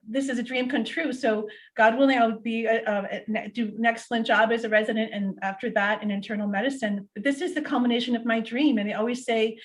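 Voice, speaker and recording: A middle-aged woman whose first language is Portuguese, guest in a casual interview, telling her story in an animated way with many fillers. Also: on the high side, fast, few pauses.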